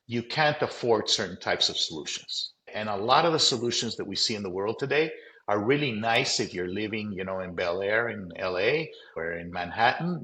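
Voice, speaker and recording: Low-voiced American-sounding man, emphatic. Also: fairly bright tone, reverberant. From Colombia. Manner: practical, emphatic, measured explanations. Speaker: company founder, guest. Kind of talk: conversational interview.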